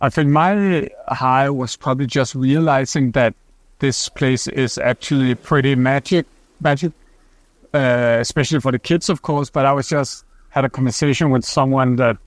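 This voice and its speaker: A man's voice: medium pitch, normal speed, no echo. A man co-hosting a podcast, speaking in a thoughtful, reflective way. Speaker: podcast co-host. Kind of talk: casual chat.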